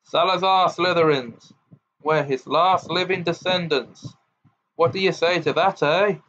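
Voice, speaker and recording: A man's voice: on the high side, unhurried, fairly bright tone, slight background noise, pitched above the performer's own voice. A man voicing a brutish, menacing old man who bellows and sneers, growling "arr". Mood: enraged. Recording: dull lo-fi recording.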